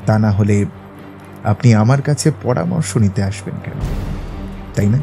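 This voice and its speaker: An actor playing a shrewd, self-assured male detective in an audio drama, speaking in a measured, analytical way. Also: fairly low pitch, vocal fry, dark tone, faint music behind. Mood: probing, composed. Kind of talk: Bengali audio drama acting.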